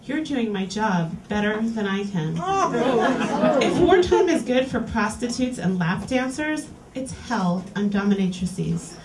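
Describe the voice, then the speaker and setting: A woman's voice: medium pitch, lively intonation, crowd noise, noisy background. Woman reading aloud in an echoey bar. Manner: clear, deliberate reading-aloud delivery. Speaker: reading series host. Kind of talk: live reading of erotica to an audience. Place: small lounge bar in front of an audience. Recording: roomy, distant live capture.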